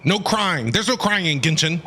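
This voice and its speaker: A man's voice: high voice, expressive, moderate pace, bright, forceful tone, slight background noise. A man giving casual, enthusiastic, chatty live commentary as he streams a video game.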